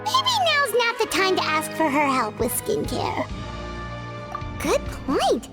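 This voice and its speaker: Tiny fairy sidekick, squeaky high, bouncy, excitable, outspoken. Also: female voice, takes it slowly, over loud music, exaggerated voice. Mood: chirpy. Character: small chirpy fairy-like companion girl, excitable. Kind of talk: game voice acting.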